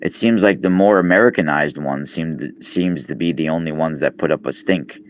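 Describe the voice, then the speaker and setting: Very deep-voiced man. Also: brisk, a little hiss or hum. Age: 40s. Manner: rambling, with many fillers and restarts. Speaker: podcast host and radio guest. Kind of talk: casual live-stream chat. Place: East Coast, New York.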